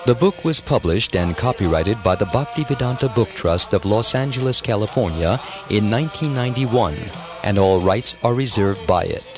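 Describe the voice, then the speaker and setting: A man reading a scripted audiobook narration in a measured, reverent, formal manner. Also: fairly low pitch, lively intonation. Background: music.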